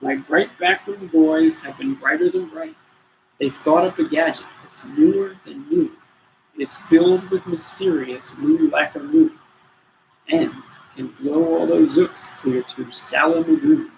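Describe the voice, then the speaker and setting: A man's voice: on the high side, huge pitch swings, measured pace, creaky, darkish tone, slight background noise, full character voice, rough recording. A man voicing a boastful, jolly chief commander who gives grand, encouraging rhyming orders in a storybook reading. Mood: confident, commanding.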